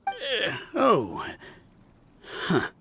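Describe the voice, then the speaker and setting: A man's voice: sits high, huge pitch swings, extremely slow, creaky, a bit bright, breathy edge, heavily acted. A man playing an argumentative, easily flustered townsman in a fantasy video game, speaking in an exaggerated, insistent way.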